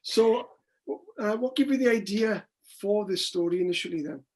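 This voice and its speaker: A man's voice: high-pitched, moderate pace, a little mellow. An older man interviewing in a casual, chatty style, with a rambling, inquisitive manner.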